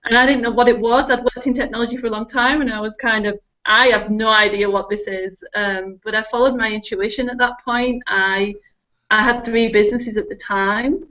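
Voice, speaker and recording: A woman's voice: fairly high pitch, a bit bright. Northern English woman, muffled stream. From Northern England. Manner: chatty, laughs easily, many ums. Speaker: guest. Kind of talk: relaxed interview talk. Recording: slightly muffled live stream audio.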